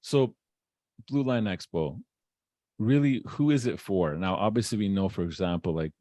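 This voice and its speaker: A man's voice: fairly low pitch, normal speed, mellow, no echo. A man hosting a podcast, asking questions in a casual interview style.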